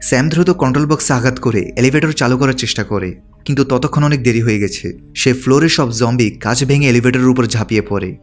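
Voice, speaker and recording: A man's voice: mid-range voice, rapid-fire. A man delivering scripted narration as the narrator of a movie recap. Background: background music.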